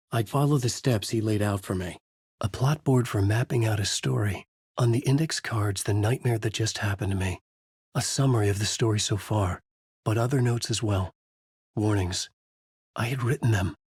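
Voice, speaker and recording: An actor playing a tormented, haunted horror novelist, narrating a video game in grave, brooding noir fragments with a husky voice. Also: male voice, lowish voice, darkish tone, no room sound, clean studio sound. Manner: grave, literary, brooding delivery in short fragments.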